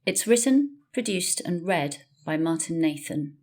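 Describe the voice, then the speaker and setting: A woman's voice: lowish voice, lively intonation, a little slow, a little mellow. A woman presenting a story programme with a scripted introduction. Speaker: podcast presenter. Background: faint music.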